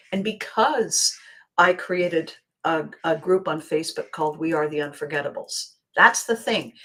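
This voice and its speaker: A woman hosts a casual motivational vlog in a fairly low voice with a slight hoarse scratch. She talks straight to her viewers in an expressive way, leaning on key words and pausing deliberately to make her points. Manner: expressive, acting out bits of dialogue. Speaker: vlog host.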